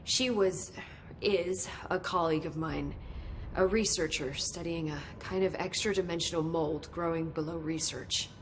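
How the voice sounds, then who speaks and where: A woman's voice: fairly low pitch, a little hiss or hum, close to an everyday voice. A middle-aged woman voicing an enthusiastic research scientist in a game. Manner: brisk, gets carried away with enthusiasm. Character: excitable female research scientist.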